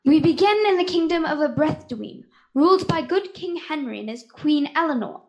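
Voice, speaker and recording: A woman's voice: high voice, animated, moderate pace. A young girl acting in a fantasy radio serial as a theatrical storyteller and kindly character. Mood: storytelling. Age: child, 10 to 13. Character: grand fantasy storyteller who also plays kindly tavern keeper. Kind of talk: scripted narration, radio serial.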